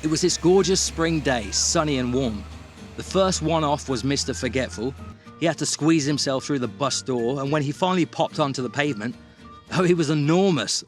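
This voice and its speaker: A friendly, gushing cartoon fellow played by a man reminisces in a documentary-style audio drama. His voice is on the high side for a man, with faint music behind it. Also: moderate pace, lightly acted. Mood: fond, gushing. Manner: chatty, gushing. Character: friendly, eager male cartoon character reminiscing.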